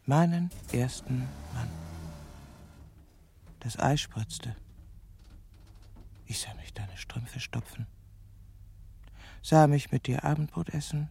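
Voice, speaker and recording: An actress playing a bitter, lovesick middle-aged woman who has turned killer, speaking in a deliberate, sardonic, brooding way in a radio drama. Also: extremely low pitch, vocal fry, mellow, a little hiss or hum, exaggerated voice. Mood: brooding, detached. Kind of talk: radio drama acting with intimate inner monologue.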